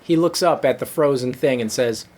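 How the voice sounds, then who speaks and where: A man reading a comic story to listeners with dramatic, drawn-out noir-style narration. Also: medium pitch, darkish tone. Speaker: comedy storyteller.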